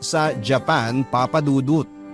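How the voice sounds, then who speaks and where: A man's voice: mid-range voice, slow, lightly acted. A man narrating as a reflective young letter-writer over music. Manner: reflective, storytelling. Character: young man recounting his love story to the radio host. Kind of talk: first-person scripted narration. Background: clear background music.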